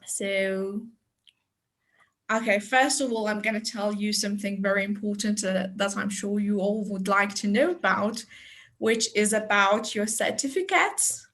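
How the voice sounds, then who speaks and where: A woman presenting a teacher-training webinar over a video call, chatty and unhurried, with light laughs and fillers. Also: mid-range voice, fairly bright tone. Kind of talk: friendly online teacher talk.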